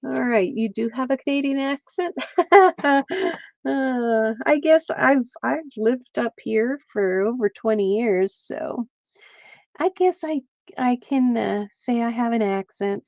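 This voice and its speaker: A woman's voice: fairly high pitch, slow, creaky, a little mellow, no echo, muffled recording. A middle-aged woman from Pennsylvania, chatting casually with viewers while she crafts on a livestream. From central Pennsylvania.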